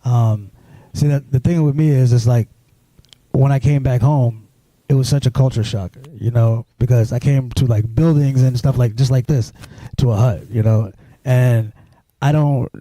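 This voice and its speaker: Guest, male, from Sudan, deep mellow tone, halting delivery, dry close mic. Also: steady tone, dry recording, low-quality recording. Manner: halting, full of restarts and "you know". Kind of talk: personal storytelling interview.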